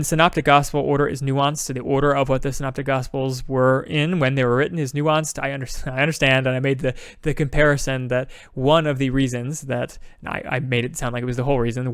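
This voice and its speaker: A man co-hosting a podcast, talking quickly in a casual discussion and thinking aloud with frequent restarts. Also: medium pitch, clear, resonant tone, no room sound, studio quality. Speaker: podcast co-host.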